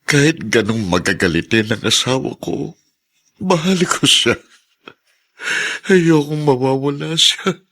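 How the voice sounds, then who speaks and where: A man's voice: medium pitch, expressive, measured pace, long pauses, sharp tone, full character voice. A man voicing a poor, humble older husband who stammers and grieves over his sick wife, in an audio drama. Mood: sorrowful. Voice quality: husky, stammering. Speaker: voice actor.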